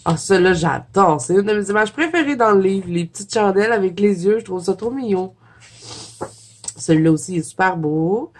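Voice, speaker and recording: A woman with a Québécois French accent talks casually to her viewers about coloring books, her voice stuffy from a cold. She rambles in a relaxed way, full of little fillers and giggles, with a middle-pitched voice. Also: normal speed, a little hiss or hum. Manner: chatty with frequent "euh" fillers and soft giggles. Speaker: coloring vlogger. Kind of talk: casual chat flipping through a coloring book.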